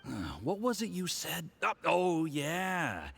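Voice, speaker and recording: A man's voice: high voice, sing-song, slow, creaky, fairly bright tone, a little hiss or hum, full character voice. An actor playing a boastful, commanding male agent in a video game, with a hearty, condescending, theatrical manner. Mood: tipsy, admiring. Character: boastful, hard-drinking male foreign agent, smugly commanding.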